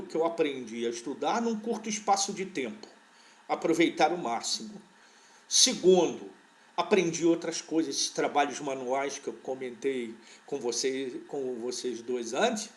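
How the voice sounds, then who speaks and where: Older man with a fairly high voice. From born in Portugal, raised in Brazil. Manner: unhurried, rambling recollection with frequent restarts. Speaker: veterinary parasitology professor, interview guest. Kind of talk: relaxed conversational storytelling.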